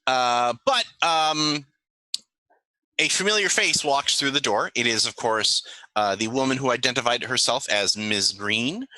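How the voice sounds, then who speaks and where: A man improvising narration as a tabletop game master, halting and often correcting himself. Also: medium pitch, bright, forceful tone, no room sound, low-quality recording.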